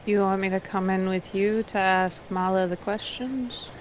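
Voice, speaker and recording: A woman's voice: medium pitch, steady tone, normal speed, slight background noise, lightly acted. A woman playing a stiff, reserved male mage in a tabletop game, speaking in a dry, matter-of-fact manner. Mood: dry, resigned.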